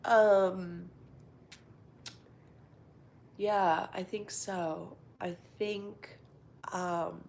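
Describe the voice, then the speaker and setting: Woman host, sick and congested, low gravelly voice, slow and easy delivery, live chat from home, often near a whisper. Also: fairly even intonation, a little hiss or hum. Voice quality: congested, nasal. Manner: soft-spoken, drifts into half-whispers, many fillers. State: stuffed up with a cold. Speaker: livestream host. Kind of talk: casual live-stream chat.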